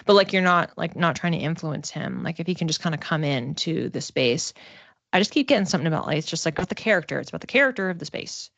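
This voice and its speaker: A woman giving a casual one-to-one card reading as a psychic, talking conversationally and haltingly, circling back over phrases as she thinks aloud. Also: low voice, animated, gravelly, a bit bright, no room sound, muffled recording. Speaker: psychic reader.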